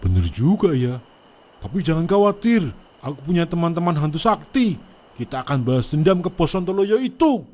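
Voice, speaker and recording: A man's voice: on the high side, big pitch swings, moderate pace, darkish tone. A man voicing a vengeful meatball-seller ghost in a comic horror cartoon, groaning and exclaiming indignantly. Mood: scheming. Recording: music playing behind.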